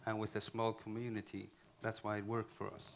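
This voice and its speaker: A man's voice: lowish voice, completely flat delivery, vocal fry, slight background noise. A man with an Icelandic accent giving a conference talk in English, often restarting and correcting himself. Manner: halting, restarts phrases, self-corrects. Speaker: conference speaker.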